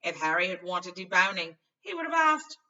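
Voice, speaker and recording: Woman playing a brash, bright-voiced schoolboy. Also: fairly low pitch, sing-song, moderate pace, dull audio, full character voice. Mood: indignant. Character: loyal, indignant, teasing schoolboy friend. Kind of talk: storybook character reading.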